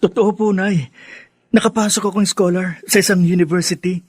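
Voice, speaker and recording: An actor playing a poor, hopeful, determined teenage scholar, earnest and respectful, in a Filipino radio drama with a Filipino accent. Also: male voice, high voice, fairly even intonation, normal speed, close to an everyday voice.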